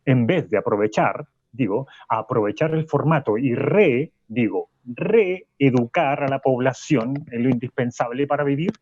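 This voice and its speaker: A man acting as a didactic, indignant professor debating in a radio play. His voice is husky and he presses his point, doubling back on phrases. The sound is dry and slightly dull. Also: mid-range voice, a little slow, a little mellow, dry recording, natural voice. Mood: insistent, challenging. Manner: insistent, repeats phrases, interrupting. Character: indignant, didactic old-school philosophy professor on a radio panel. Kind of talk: Spanish-language radio play as live debate.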